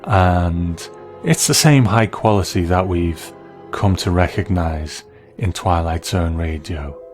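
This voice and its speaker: A man with a deep voice gives scripted review commentary. He is measured and analytical, with soft music playing behind him.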